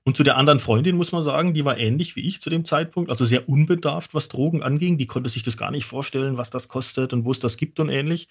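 A man's voice: mid-range voice, fast talker, few pauses, husky. A man, an expert guest, explaining and telling anecdotes in a casual, colloquial storytelling way. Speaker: toxicologist guest.

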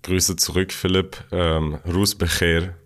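A man's voice: deep voice, little pitch movement, slow pace, a bit bright. A man who grew up in Germany, talking casually as a podcast co-host.

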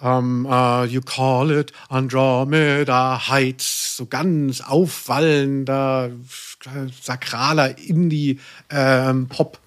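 A man's voice: mid-range voice, level tone, takes it slowly. A man co-hosting a podcast in casual chat, musing and hesitant as he searches for words. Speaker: podcast co-host. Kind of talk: casual chat about radio plays.

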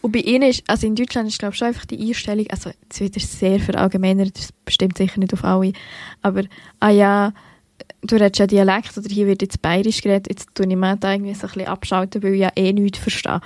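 A woman's voice: mid-range voice, little pitch movement, fast, a little mellow, no room sound. Young Swiss woman, Bernese accent, dry sound. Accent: Bernese Swiss German. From Switzerland. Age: young adult. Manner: chatty, many fillers and self-corrections. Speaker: podcast co-host. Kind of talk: casual literary podcast chat.

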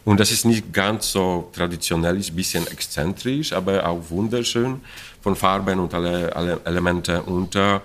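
A man's voice: deep voice, normal speed, a little hiss or hum. A middle-aged man from Poland with a Polish accent, a Polish native speaker, talking in an interview about an opera production, searching for words with many fillers and restarts and laughing often. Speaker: opera stage director.

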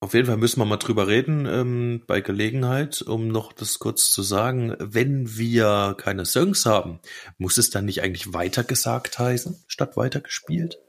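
A man's voice: lowish voice, normal speed. A man co-hosting a podcast in a casual, conversational style. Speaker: podcast co-host. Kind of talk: casual chat.

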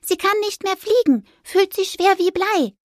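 A woman voicing a small, lively female mouse in a storybook, chirpy and a bit bossy. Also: very high voice, a little slow, no room sound, studio quality, pitched above the performer's own voice. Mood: eager. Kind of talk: storybook character acting.

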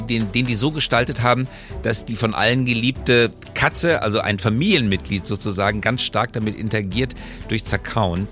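A man's voice: fairly low pitch, fairly bright tone. A man hosting a podcast in a conversational, reflective way, explaining points with emphatic phrasing. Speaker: podcast host.

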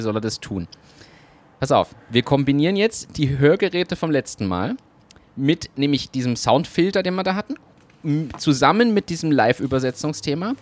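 A man co-hosting a casual podcast chat, brainstorming ideas with enthusiasm. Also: mid-range voice. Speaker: podcast co-host.

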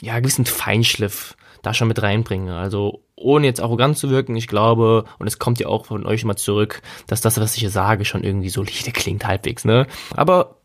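A man's voice: lowish voice, moderate pace, few pauses. A man from Germany, hosting a solo podcast in a casual, reflective, conversational way and stretching key words for emphasis. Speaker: podcast host.

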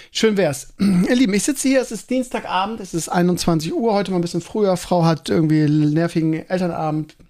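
A man talks alone as a podcast host. His voice sits slightly high for a man. He speaks casually and colloquially, wandering through his thoughts and interrupting himself as he goes. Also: a little mellow. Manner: rambling, self-interrupting, colloquial. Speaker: school teacher. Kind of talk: casual solo podcast monologue.

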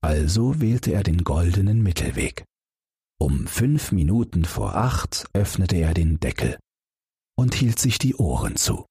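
Low husky guy reading a bedtime fairy tale, slow and calm, talks right to the listener. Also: no echo, clean studio sound. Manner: calm, expressive storytelling, addressing listeners directly. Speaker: audiobook narrator.

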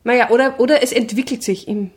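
Higher-voiced Austrian woman, dry comic banter. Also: fairly even intonation, quick pace. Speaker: improv comedian and podcast co-host. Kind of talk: casual improvised comedy chat.

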